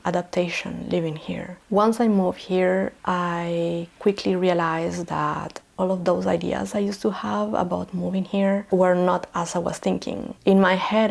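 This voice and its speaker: Chilean woman vlogger, thirties, lowish flat voice with creaky fry, soft and halting in English, Spanish native. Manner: soft, hesitant, often near a whisper. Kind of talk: personal story vlog.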